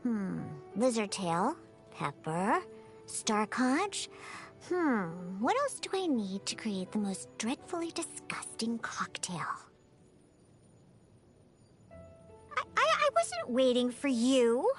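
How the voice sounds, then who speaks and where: A woman playing a small, feisty cat-girl bartender in a video game, girlish and cutesy, with a huffy, expressive manner. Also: fairly high pitch, slow pace, soft background music. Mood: scheming, then flustered, defensive. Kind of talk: video game character voice lines.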